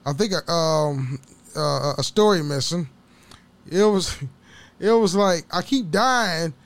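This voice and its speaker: A man with a somewhat high-pitched voice chats alone into a home mic, loose and rambling. He curses freely and laughs often, and his voice carries a slight hoarseness. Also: slow. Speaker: podcast host. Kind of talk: casual solo podcast chat.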